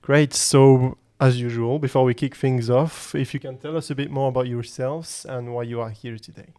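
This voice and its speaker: A man's voice: medium pitch, steady tone, moderate pace, mellow, no echo. A man hosting a business podcast, reading a scripted intro and then hosting in an upbeat, enthusiastic way. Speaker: podcast host.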